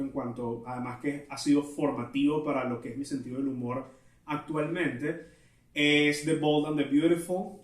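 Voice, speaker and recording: A man with a Venezuelan Spanish accent hosting a solo podcast, chatting casually in a self-aware, digressive way. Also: mid-range voice. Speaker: podcast host. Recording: roomy echo, distant from mic.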